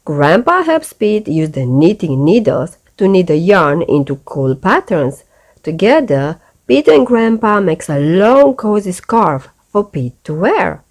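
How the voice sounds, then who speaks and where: A woman reading a children's picture book aloud as a bedtime story, with expressive, deliberate delivery for young listeners. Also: fairly low pitch.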